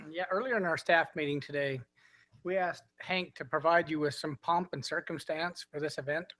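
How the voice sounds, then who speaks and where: Man on city staff, fairly high voice, praising a colleague's work. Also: normal speed, bright, forceful tone. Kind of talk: staff remarks at council meeting.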